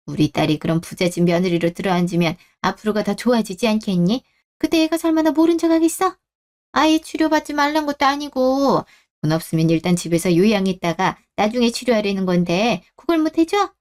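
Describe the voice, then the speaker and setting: A woman's voice: mid-range voice, lively intonation, brisk, darkish tone, natural voice. A woman voicing a callous older mother-in-law in a radio drama, with a haughty, reproachful, nagging delivery. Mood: pushy, scolding. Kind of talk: Korean radio-drama story acting.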